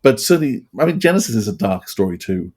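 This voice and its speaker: A man hosting a casual review chat, rambling and halting, often repeating his phrases. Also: fairly low pitch, big pitch swings, darkish tone, breathy edge. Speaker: podcast host.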